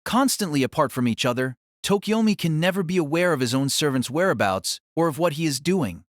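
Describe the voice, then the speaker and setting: A man's voice: on the high side, animated, fairly quick, dry recording, clean studio sound. A man reading scripted dramatic story narration as the narrator of an audio drama.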